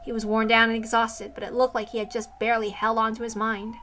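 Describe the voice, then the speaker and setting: A woman's voice: on the high side, completely flat delivery, quick pace, fairly bright tone, slight background noise. A woman narrating a visual novel aloud as a dramatic story reader.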